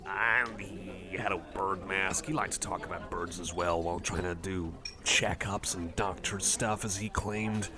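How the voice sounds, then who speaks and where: A man's voice: deep voice, steady tone, measured pace, hardly any pauses. A man playing a friendly, nerdy cyborg card enthusiast in a casual ASMR roleplay, rambling hesitantly and apologetically. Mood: geeky, enthusiastic. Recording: cafe crowd chatter behind. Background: busy cafe chatter.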